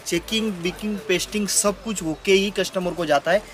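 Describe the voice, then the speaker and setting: A man's voice: sits high, moderate pace, a bit bright. A man demonstrating products informally, repeatedly prompting the viewer to look. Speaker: saree manufacturer and wholesaler. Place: packed indoor saree shop.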